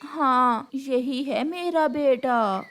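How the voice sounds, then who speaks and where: A fond, determined cartoon mother bird, played by a woman, speaks earnestly in a high voice. The pace is slow and measured. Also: a bit bright, a little hiss or hum, exaggerated voice.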